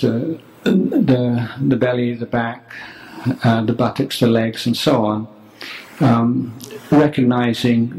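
A man teaching guided meditation, speaking calmly and deliberately, leaving long pauses between phrases. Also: medium pitch. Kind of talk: spoken guided meditation instruction. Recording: roomy, echoing, faint background noise.

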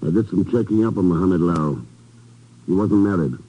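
An actor playing a calm, dry, matter-of-fact secret agent in a 1950s radio drama. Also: male voice, low voice, a little fast, muffled recording, very noisy, natural voice.

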